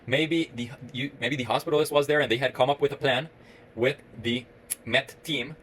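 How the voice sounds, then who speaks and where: A man in his thirties from Costa Rica with a Costa Rican Spanish accent, a medical resident giving an earnest, rambling talk to camera with many pauses and restarts. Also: mid-range voice, steady tone, a bit bright, slight background noise. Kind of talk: solo reflective talk to camera.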